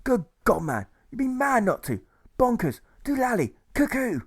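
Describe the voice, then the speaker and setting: A man's voice: very animated, normal speed, breathy edge, exaggerated voice. A man voicing an anguished, aggrieved soldier who pleads emphatically in an audiobook. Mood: pleading. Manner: high, imploring, emphatic.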